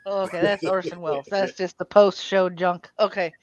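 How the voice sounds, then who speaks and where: A woman's voice: lowish voice, little pitch movement, moderate pace, darkish tone, slight background noise. A woman hosting a livestream in casual chat, playful and often talking to herself, on slightly lo-fi audio. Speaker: livestream host.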